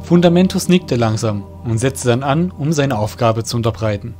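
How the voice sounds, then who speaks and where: A man's voice: medium pitch, lively intonation, moderate pace, mellow, soft background music, lightly acted. A man reading scripted narration as the storyteller of an audio drama.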